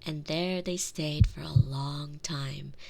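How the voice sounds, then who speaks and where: A woman playing a tender, protective girlfriend in an intimate ASMR roleplay, speaking soothingly and softly into a close binaural microphone with lingering phrases. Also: low-pitched, fairly even intonation, slight background noise, exaggerated voice. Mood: gentle storytelling. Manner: soothing, breathy near-whispers, lingering drawn-out phrases. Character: tender, protective girlfriend soothing her frightened lover to sleep.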